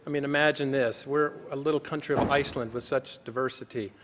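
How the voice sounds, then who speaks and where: A man's voice: mid-range voice, steady tone, quick pace, noisy background. Earnest man speaking in a reverberant hall. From United States. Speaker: conference speaker, physician. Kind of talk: formal conference talk. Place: conference hall.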